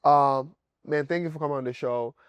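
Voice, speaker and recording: A man's voice: fairly high pitch, a little fast, clean tone, dry recording. A man hosting an entrepreneur show, opening it in an upbeat, emphatic way before interviewing his guest. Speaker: podcast host. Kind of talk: enthusiastic show intro and interviewing.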